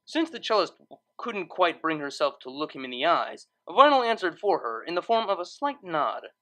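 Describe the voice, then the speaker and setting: A woman reading scripted fiction as narrator in an expressive storytelling manner. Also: lowish voice, moderate pace.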